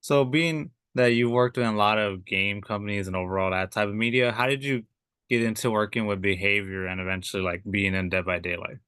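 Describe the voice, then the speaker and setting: A young man hosting a podcast interview, asking loose, rambling questions studded with fillers. His voice sits fairly low but sounds bright and smooth. The sound is close and dry. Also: fairly even intonation, brisk, dry recording. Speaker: podcast host.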